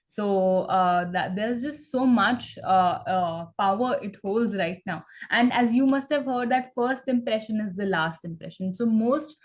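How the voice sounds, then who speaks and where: Woman english coach, calm and encouraging, keeps saying right?. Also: medium pitch. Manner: measured and encouraging, tags points with "right?". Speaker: English trainer. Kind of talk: conversational interview practice.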